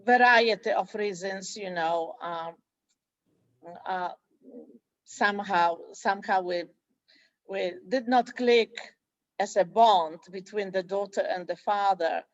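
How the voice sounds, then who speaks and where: Non-native English-speaking woman from Poland, school director in an interview; halting delivery with frequent fillers. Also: mid-range voice, no room sound, highs cut off. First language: Polish. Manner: hesitant, many fillers and restarts. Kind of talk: interview conversation.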